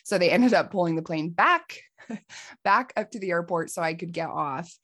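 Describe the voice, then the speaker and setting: Slightly raspy, lower-voiced woman coach. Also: moderate pace. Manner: earnest, heartfelt, excited. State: hoarse from allergies. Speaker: life coach and podcast host. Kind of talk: personal storytelling monologue.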